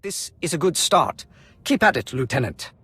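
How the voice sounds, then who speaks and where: A man's voice: fairly high pitch, very animated, moderate pace, sharp tone. A man playing a stern, officious military colonel in a video game cutscene, with formal, clipped delivery. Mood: measured. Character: brisk, officious male Imperial colonel, dutiful and stern. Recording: music behind the voice.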